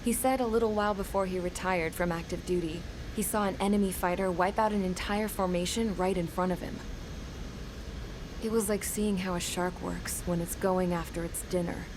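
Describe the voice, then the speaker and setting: Woman acting a low-voiced, plain-spoken storyteller. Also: steady tone, normal speed, lightly acted. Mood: reflective. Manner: colloquial storytelling. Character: plain-spoken young woman retelling war stories. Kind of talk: scripted game cutscene narration. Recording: clear background vehicle noise.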